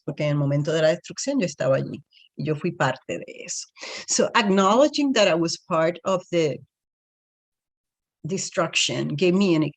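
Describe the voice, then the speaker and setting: A woman with a Puerto Rican accent, speaking as a spiritual coach in an unscripted talk. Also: low-pitched. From Puerto Rico.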